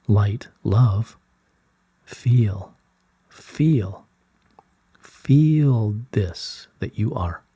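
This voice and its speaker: A man reads spiritual guidance in a low, very mellow voice with a soft, breathy grain. He takes his time, speaking very slowly and solemnly in measured, soothing phrases. The sound is dry and close. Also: no echo. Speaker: reader of channelled spiritual messages. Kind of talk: slow scripted reading of spiritual guidance.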